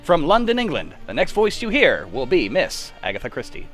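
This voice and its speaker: A grand vintage radio announcer, acted by a man, with a fairly high voice over prominent music. The delivery is theatrical, formal and dramatic, in a 1940s style. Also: huge pitch swings, brisk, fairly bright tone.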